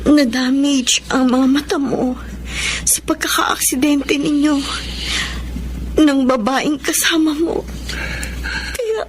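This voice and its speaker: High-voiced, long-suffering devoted wife. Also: female voice, takes it slowly, pauses often, slight background noise. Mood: tearful, gentle. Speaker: radio drama actor. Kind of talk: Filipino radio drama acting.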